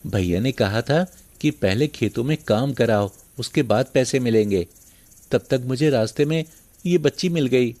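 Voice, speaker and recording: A man's voice: mid-range voice, moderate pace, a little mellow, lightly acted. A man playing a poor, kind-hearted younger brother, meek, earnest and pleading, in a moral story cartoon. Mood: resigned.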